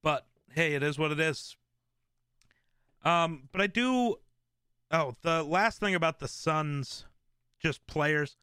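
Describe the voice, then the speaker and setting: Male streamer host, smooth and clear, casual rambling delivery with fillers. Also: a little high, dry recording, clean studio sound. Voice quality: relaxed, smooth voice. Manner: rambling, with many fillers and restarts. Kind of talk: casual live-stream chat.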